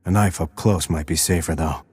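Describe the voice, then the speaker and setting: A man playing a terse, calm military sniper in a video game, clipped and controlled, reporting in tactical radio calls. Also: moderate pace, no echo, clean studio sound. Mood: cool, businesslike. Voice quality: low, husky. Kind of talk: tactical radio call acting.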